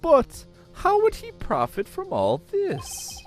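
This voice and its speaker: A man voicing an excitable elderly male judge who gets flustered and confused easily, in a fan dub of a courtroom game. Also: very high-pitched, slow, soft background music, voice pushed up, full character voice. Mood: baffled.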